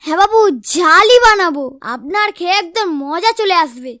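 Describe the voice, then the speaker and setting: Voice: extremely high pitch, animated, normal speed. A performer voicing a cheeky, short-tempered child street seller in a comic cartoon, with sassy sales patter and music behind. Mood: eager sales patter. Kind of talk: Bengali comic cartoon dialogue.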